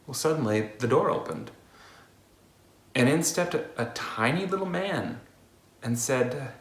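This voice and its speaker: A man's voice: medium pitch, lively intonation, measured pace, echoey room. A man reading fairy tales aloud in a playful storytelling manner. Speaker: storybook reader.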